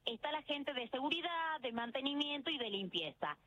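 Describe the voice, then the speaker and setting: A female field reporter with an Argentine Rioplatense accent, speaking live for radio. Her voice is high and bright, and she reports on the spot and questions passers-by. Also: animated, moderate pace, dull audio, faint music behind. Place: outside a university building on a city square.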